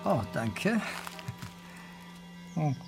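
An actor playing a terse, understated, blunt-spoken police detective in a radio crime drama. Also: male voice, fairly high pitch, big pitch swings, very slow, gravelly, over music, full character voice. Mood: guarded.

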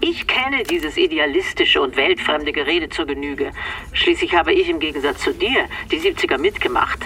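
A woman's voice: low-pitched, animated, normal speed, sharp tone, distant mic. An actress playing a defensive, exasperated middle-aged mother in a naturalistic radio drama. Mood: indignant. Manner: exasperated, dismissive. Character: defensive, irritable middle-aged mother dismissing family secrets.

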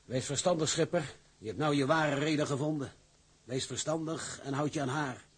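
A man's voice: fairly high pitch, normal speed, husky. An actor playing a pious, moralizing seaman in a radio drama, counselling his skipper in a sententious, preachy manner. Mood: sanctimonious.

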